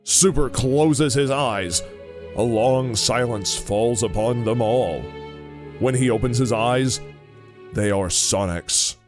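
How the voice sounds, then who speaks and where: A man's voice: mid-range voice, slow pace. A man narrating a scripted audio drama story. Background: music.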